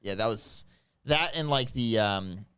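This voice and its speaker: Lowish, animated man in casual chat. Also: measured pace, clear, resonant tone, no echo, studio quality. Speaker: show co-host. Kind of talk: casual panel chat with friends.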